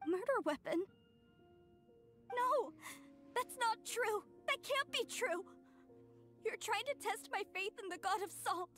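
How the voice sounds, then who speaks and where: A woman's voice: very high voice, animated, slow, long pauses, fairly bright tone, faint music behind, full character voice. A woman playing a devout, proud young woman in a video game, with a dramatic, earnest delivery. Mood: distraught denial. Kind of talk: English video game voice acting.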